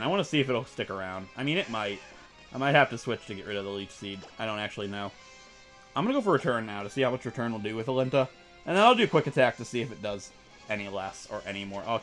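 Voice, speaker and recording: Casting: mid-pitched, talkative man, casual gameplay commentary, faint game music behind. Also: a bit bright. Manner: rambling and chatty, full of "like" and asides. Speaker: let's play host.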